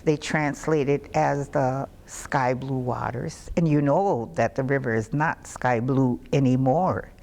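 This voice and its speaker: An older woman, a community elder from Minnesota, recalling the river in a reflective, unhurried way with many fillers. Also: a bass voice, vocal fry, darkish tone. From Minnesota, United States. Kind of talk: interview recollection.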